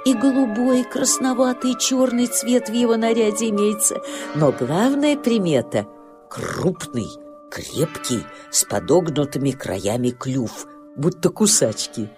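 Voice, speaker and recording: Female children's story narrator: lowish pitch, animated melody, expressive, music underneath. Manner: expressive storyteller, addressing listeners.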